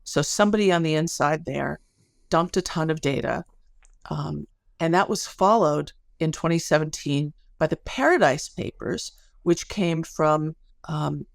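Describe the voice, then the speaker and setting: Creaky-voiced woman explaining research. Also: sits low, no echo, clean studio sound. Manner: thoughtful, careful, self-correcting. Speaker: podcast guest, academic researcher. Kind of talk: explanatory interview conversation.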